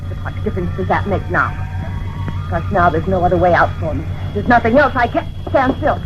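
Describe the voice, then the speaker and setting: A woman's voice: on the low side, animated, fairly quick, lightly acted. An actress playing a cold, desperate, selfish female killer in an intense, theatrical 1950s radio melodrama style. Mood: cold menace. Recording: dull vintage radio recording with music.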